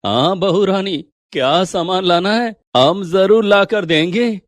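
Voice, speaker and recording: A man's voice: high voice, slow pace, no room sound, clean studio sound. A man voicing a deferential servant, polite and eager to please, in a story dramatization. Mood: concerned. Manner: polite, obliging.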